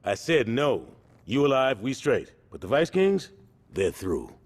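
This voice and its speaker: A man's voice: medium pitch, big pitch swings, unhurried. A man acting a commanding, persuasive street gang leader in a video game, talking in emphatic street slang. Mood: firm.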